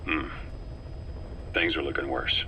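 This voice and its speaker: Game cutscene role: resolute operative, deep, crisp-edged and matter-of-fact, faint noise behind. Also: male voice, fairly even intonation, pushed and bright, full character voice, poor audio. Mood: urgent briefing. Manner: deliberate, matter-of-fact, intense. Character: cool, calculating male intelligence operative, loyal and resolute. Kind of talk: dramatic video game cutscene acting.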